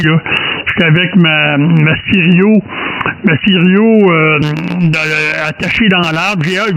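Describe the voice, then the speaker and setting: A man's voice: high-pitched, fairly bright tone, poor audio. A jovial man with a Québécois French accent, chatting casually as an amateur radio operator. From Canada. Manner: jovial, chuckles often. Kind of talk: casual radio contact chat.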